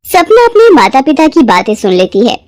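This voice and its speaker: A woman's voice: sits high, huge pitch swings, moderate pace, darkish tone, no room sound, studio quality. A woman narrating a scripted story as its storyteller.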